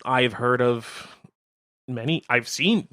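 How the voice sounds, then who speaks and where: Male co-host, medium pitch, agreeable and measured conversational delivery, dry close recording. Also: pushed and bright, dry recording. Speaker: radio show co-host. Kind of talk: casual chat.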